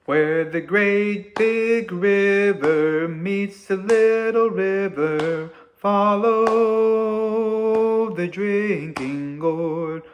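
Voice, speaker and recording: A man voicing an earnest peg-legged guide in a children's story, giving emphatic, drawn-out instructions. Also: high voice, voice pushed up.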